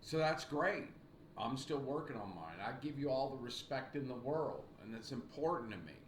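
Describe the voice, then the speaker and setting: A man in his sixties, a college writing instructor giving a recorded video lecture at his webcam at home. He talks slowly and repeats himself in an encouraging way, clearing his throat often. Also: medium pitch, animated. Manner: slow, repetitive, encouraging teacher talk with frequent throat clearing. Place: small home room indoors. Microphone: webcam at desk. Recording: echoey room with faint background noise.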